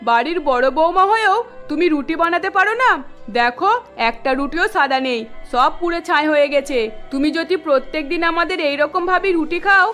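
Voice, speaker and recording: A woman's voice: high voice, normal speed, pushed and bright, faint music behind. A woman playing a stern older mother-in-law in a cartoon, speaking in an emphatic, scolding way. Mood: angry, sarcastic. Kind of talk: Bengali cartoon voice acting.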